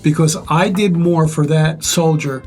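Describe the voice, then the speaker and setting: An older man with a relatively loud voice, reflectively sharing life stories and advice in measured, pause-filled speech. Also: on the high side, steady tone, faint music behind. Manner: measured, pausing often with "uh". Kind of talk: reflective conversational storytelling.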